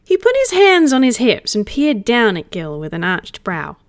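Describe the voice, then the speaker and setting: A woman's voice: fairly high pitch, wildly swooping pitch, moderate pace, no room sound, clean studio sound. A woman narrating a roleplay story read aloud in the third person. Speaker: roleplay reader.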